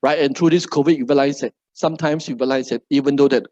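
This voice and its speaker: A man's voice: mid-range voice, steady tone, fast, darkish tone, no room sound, lo-fi sound. A middle-aged man from Malaysia with a Malaysian English accent gives a seminar talk to a live audience, ending nearly every phrase with "right?". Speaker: motivational speaker.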